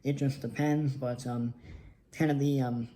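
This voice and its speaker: Congested young man narrating, very mellow tone. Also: mid-range voice, fairly even intonation, a little slow, slight background noise. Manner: rambling, lots of ums. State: congested from allergies. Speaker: model airport hobbyist host. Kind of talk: casual hobby video narration. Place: indoors at a model airport setup. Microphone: phone on a tripod.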